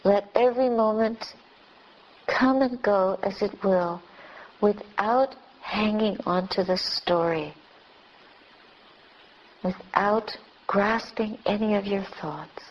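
A woman meditation teacher leading a guided meditation in short, unhurried phrases with long pauses between them. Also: medium pitch. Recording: dull lo-fi recording with clear background noise.

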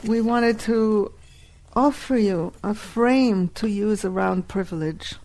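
A woman whose first language is Hebrew, teaching a live workshop and deliberately repeating key phrases. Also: mid-range voice, dark tone, low-quality recording.

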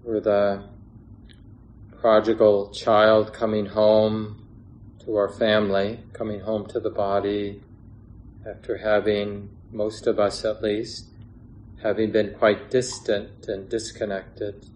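Slow, low-voiced man guiding meditation. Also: completely flat delivery, a little hiss or hum. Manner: gentle, unhurried, pausing between short phrases. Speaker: meditation teacher. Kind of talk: guided meditation instruction, unscripted.

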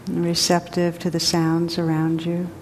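Woman guiding meditation, low even voice, real slow, echoey hall w/ faint rumble. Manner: slow, gentle, spacious pauses. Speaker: meditation teacher. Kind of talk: guided meditation.

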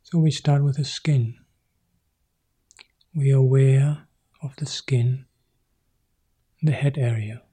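A man leads a guided meditation in short, gentle phrases, often dropping to a breathy whisper. His voice is very dark and mellow, with a husky edge. He speaks very slowly and leaves long pauses between cues. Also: medium pitch, steady tone. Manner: soft, slow phrases, often sinking to a whisper. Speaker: Buddhist monk leading meditation.

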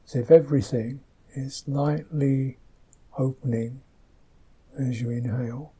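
Very mellow, slow-speaking man guiding meditation. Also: mid-range voice, fairly even intonation, breathy edge. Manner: gentle, unhurried, halting phrases with long pauses. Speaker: meditation teacher. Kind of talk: guided meditation instruction.

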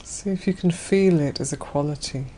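A woman guides a meditation in a low, mellow voice. Her phrasing is gentle and spacious, with a soft, unforced delivery. There is faint ambient noise behind her. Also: moderate pace. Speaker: Buddhist nun leading a guided meditation.

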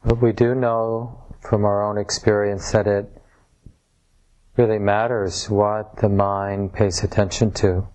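A man leading a guided meditation as a teacher, speaking in measured short phrases with pauses between them. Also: on the low side, little pitch movement, a little mellow, low-quality recording. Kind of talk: guided meditation, softly instructive. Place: room at a retreat center.

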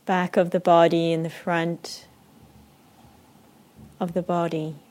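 A woman with an Israeli accent guides a meditation in a slow, soft, unhurried voice. Her tone sits fairly low and stays flat and even, with long pauses between phrases. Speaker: meditation teacher.

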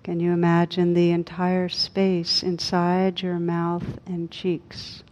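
A woman leading a guided meditation as a teacher, speaking calmly and unhurriedly with long pauses. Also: lowish voice. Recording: dull, lo-fi recording.

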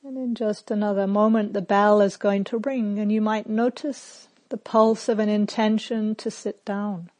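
A woman meditation teacher leading a guided meditation in short, measured phrases with long pauses. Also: mid-range voice, steady tone, a little mellow.

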